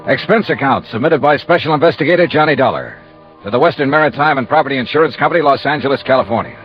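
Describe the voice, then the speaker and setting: A muffled old radio recording with music carries a man playing a breezy American insurance investigator. He is wry and wisecracking, with lively, varied pitch, in the style of 1950s radio detective drama. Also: fast talker, lightly acted. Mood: businesslike. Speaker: lead actor playing an investigator.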